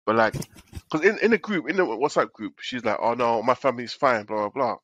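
A man's voice: mid-range voice, fairly quick, a bit bright, no echo, muffled recording. Male co-host, nasal from a cold, rambling casual storyteller, dry sound. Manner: rambling storyteller, repeats himself. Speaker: podcast co-host. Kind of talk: casual chat.

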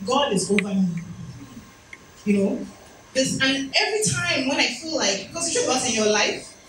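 A woman in her thirties giving a motivational talk at an event, telling personal stories with chatty, self-deprecating asides. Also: on the high side. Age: 30s. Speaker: speaker. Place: event hall. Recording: echoey room from far off, noisy.

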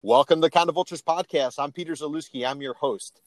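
A man's voice: on the high side, fast, no room sound. A man hosting an interview podcast in a casual, chatty, informal way with emphatic delivery. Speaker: podcast host.

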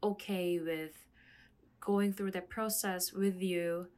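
A woman's voice: fairly low pitch, steady tone, a little mellow. A young woman from South Korea, a native Korean speaker, talking casually and reflectively while searching for her words. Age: young adult. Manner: halting, searching for words, frequent "like". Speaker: conversation partner.

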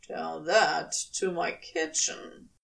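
A woman's voice: fairly low pitch, big pitch swings, slow pace, vocal fry, fairly bright tone, far from the mic, voice pushed down, heavily acted. A woman voicing a devoted, wry male demon lover in an audio fan fiction, with a dry, blunt delivery.

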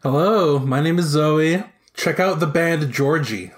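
A woman's voice: sits low, unhurried. A woman guest introducing herself on a podcast in a playful way.

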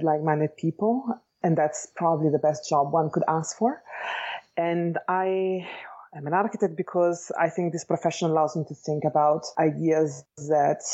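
A woman with an Italian accent and a low, mellow voice answers interview questions. She speaks in a measured, thoughtful way, articulate but pausing as she reflects. The recording is slightly dull. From Italy. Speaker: interview guest, architect and educator. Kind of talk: reflective interview talk about own life.

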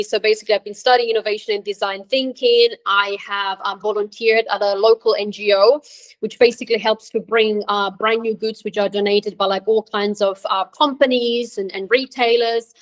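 A woman's voice: on the high side, fairly even intonation, normal speed. A woman who grew up in Switzerland, a career coach talking as a podcast guest over a remote call, hesitant, with many ums and restarts. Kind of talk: conversational interview.

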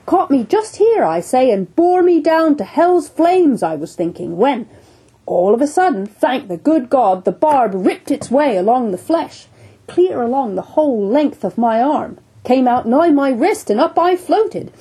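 A woman's voice: high voice, sing-song, a little slow, warm tone, slightly rough, voice pushed up, heavily acted, poor audio. A woman voicing a jovial, teasing one-armed English sea captain in a storybook reading. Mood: hearty. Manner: boisterous, teasing, hearty.

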